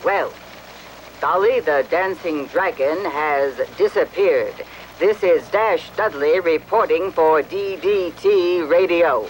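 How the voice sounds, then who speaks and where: Formal radio reporter for kids tv, slowish, kinda high, noisy recording. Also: male voice. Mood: announcing. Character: dashing, earnest male radio news reporter. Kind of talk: parody on-the-spot radio reporting.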